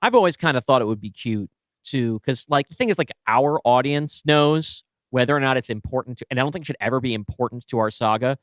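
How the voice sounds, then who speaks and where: A man co-hosting a podcast, brainstorming casually and thinking aloud in halting, self-correcting bursts. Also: medium pitch, expressive, no room sound, clean studio sound. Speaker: podcast co-host and writer.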